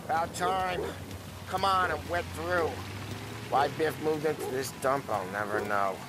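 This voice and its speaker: A man's voice: fairly high pitch, unhurried, very noisy, lightly acted. A man playing a brash, grumbling mob enforcer in a video game, with a cocky, needling way of talking. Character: brash, grumbling, wisecracking male mobster enforcer. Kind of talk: dramatic video game cutscene acting.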